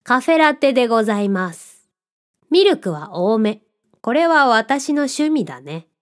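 A woman voicing a friendly, contented talking cat who runs a drink stall in an audio drama, speaking gently and politely with a playful touch. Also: on the high side, big pitch swings, measured pace. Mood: playful, proud. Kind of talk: Japanese audio drama acting.

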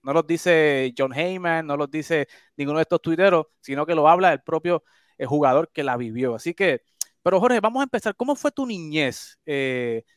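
A man's voice: on the high side, lively intonation, normal speed, no room sound, clean studio sound. A man hosting an interview, asking long questions with frequent tag questions. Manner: chatty, long questions with repeated "¿verdad?" tags. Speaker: interviewer, host.

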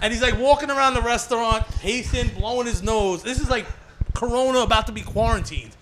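Brash high-voiced man. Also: fairly quick, noisy background. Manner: brash, crude, laughs often. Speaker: podcast co-host. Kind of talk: casual podcast banter.

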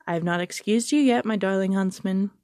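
A woman's voice: medium pitch, a little fast, mellow, no echo, clean studio sound, natural voice. A woman playing a haughty, cruel sorceress queen. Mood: imperious. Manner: haughty, commanding, mocking. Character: cold cruel adult Dark Queen sorceress.

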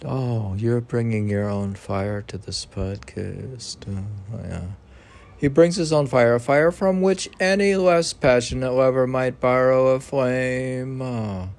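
A man hosting an informal poetry podcast, reading aloud haltingly and stumbling over words, with muttered asides. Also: mid-range voice, steady tone, a little mellow.

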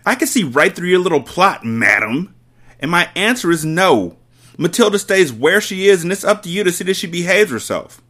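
A man's voice: sits high, big pitch swings, normal speed, pitched above the performer's own voice, full character voice. A man doing a bellowing bully headmistress. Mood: bellowing scornful. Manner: barking, shouting, contemptuous. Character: bullying hulking female headmistress, furious.

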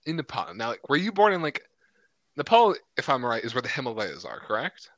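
A man's voice: medium pitch, very animated, brisk, a bit bright, dry recording, dull audio. A male podcast co-host, joking and teasing his guest in a casual interview and peppering his talk with "like.".

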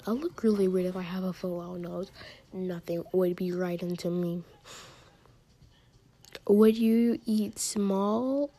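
A teenage girl with a high-pitched, mellow voice, chatting casually in a vlog. She takes her time and rambles a little. Her asides fade into soft near-whispers. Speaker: vlogger. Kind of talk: casual chat, reading out questions and answering them.

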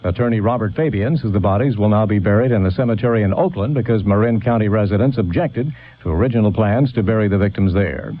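A man reading a scripted radio news bulletin in a measured, formal way. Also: fairly low pitch. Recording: dull lo-fi old radio.

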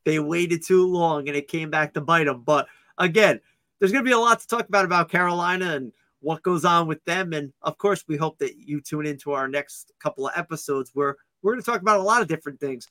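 A man's voice: a little high, sharp tone, no room sound. A man co-hosting a sports podcast, chatting casually in an upbeat, joking way. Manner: upbeat, joking, quick asides. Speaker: podcast co-host.

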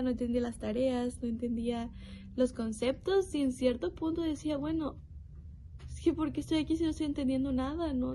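A woman's voice: on the high side, dark tone, slight background noise, rough recording. Earnest young woman testifying. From Mexico. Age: young adult. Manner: earnest, reflective, with pauses and restarts. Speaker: youth program speaker. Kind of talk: personal testimony.

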